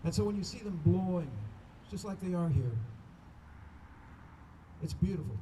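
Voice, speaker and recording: A man hosting a remembrance ceremony with an informal welcome speech, speaking in an earnest, halting way. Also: high-pitched, animated, mellow. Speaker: ceremony host. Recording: noisy outdoor ambience.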